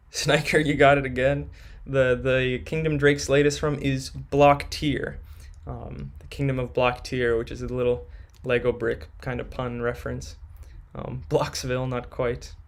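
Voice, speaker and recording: A man's voice: mid-range voice, little pitch movement, measured pace, creaky. A young man hosting a live stream, reading viewers' questions from chat and answering them casually.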